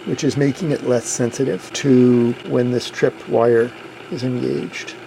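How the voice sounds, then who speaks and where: A man with a gravelly voice plainly and methodically narrating a hands-on repair as the host of a hobby video. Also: mid-range voice, steady tone, unhurried, warm tone, slight background noise, poor audio.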